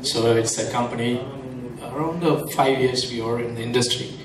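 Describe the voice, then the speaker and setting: A man's voice: medium pitch, normal speed, a crowd in the background. Guy from switzerland, sponsor rep, bit nervous, echoey room, mic far away. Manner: plain, slightly nervous. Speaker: sponsor company representative. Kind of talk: short public speech. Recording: echoey hall, distant mic, faint noise.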